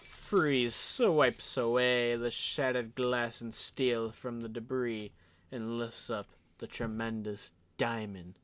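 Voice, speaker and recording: A man's voice: mid-range voice, fairly even intonation, slow pace, a bit bright, clean tone. A man narrating an audio drama in a theatrical announcer style, slipping into offhand comic asides. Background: music.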